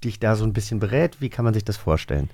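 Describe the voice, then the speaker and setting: A man hosts a podcast interview, eager and chatty with his guest. His voice sits fairly low. The room sounds dry and close. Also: expressive, fast, dark tone, no echo. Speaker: podcast host. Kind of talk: casual interview.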